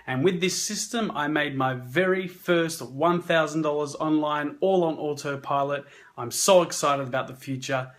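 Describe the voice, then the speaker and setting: A man's voice: a little high, normal speed, hardly any pauses, fairly bright tone. A man giving a client testimonial. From Australia. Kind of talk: sales testimonial.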